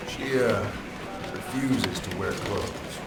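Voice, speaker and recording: An actor playing a sombre military officer in a video game cutscene, speaking in measured, clipped phrases. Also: male voice, fairly low pitch, roomy sound, far from the mic, very noisy. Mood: grave, guarded. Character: sombre male military intelligence officer explaining a strange prisoner.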